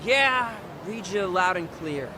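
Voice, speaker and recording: A man's voice: sits high, animated, slow, noisy background. An actor voicing a hot-headed, mouthy boy with a brash, slangy manner in a game dub. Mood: upbeat. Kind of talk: English dub game cutscene acting.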